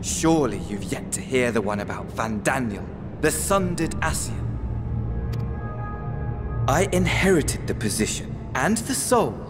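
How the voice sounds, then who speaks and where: An actor playing a smug, mocking sorcerer villain in a video game, with a theatrical, affected drawl. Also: male voice, mid-range voice, lively intonation, measured pace, long pauses, lightly acted. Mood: smug storytelling. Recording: music behind the voice.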